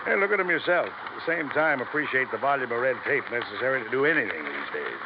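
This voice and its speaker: A man's voice: mid-range voice, big pitch swings, fast talker. An actor with an American accent playing a self-assured, dismissive male construction boss in a 1950s radio drama. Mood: scornful, amused. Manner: dismissive, chuckling. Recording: noisy, muffled vintage radio recording.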